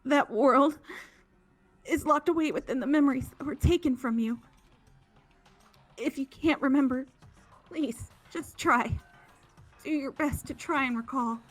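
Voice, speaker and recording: A woman's voice: high voice, unhurried, long pauses, faint music behind. A woman voicing a manic, cruel game villainess, theatrical and menacing, moving between coaxing and taunts. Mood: ominous coaxing.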